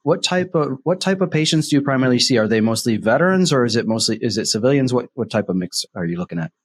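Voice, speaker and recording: Curious young host, a bit husky. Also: male voice, medium pitch, lively intonation, quick pace. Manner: curious, asks questions, restarts phrases. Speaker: podcast host. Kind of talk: casual podcast interview.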